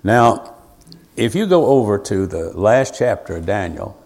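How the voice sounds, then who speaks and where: Older male preacher, lowish voice, informal and meandering Bible teaching with frequent asides. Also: big pitch swings, a little mellow. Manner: rambling, folksy, halting, full of asides and self-told anecdotes. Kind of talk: informal sermon to a congregation.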